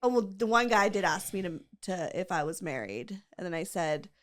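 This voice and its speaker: A woman's voice: fairly low pitch, lively intonation, moderate pace. A woman hosting a podcast, chatting casually and rambling with lots of fillers and giggles. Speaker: podcast host. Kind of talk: casual chat.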